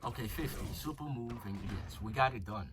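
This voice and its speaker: A man's voice: mid-range voice, animated, brisk, music in the background. A young man with a flu giving casual, crude commentary over a mobile game. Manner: chatty, crude jokes, thinking aloud. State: slightly sick with flu.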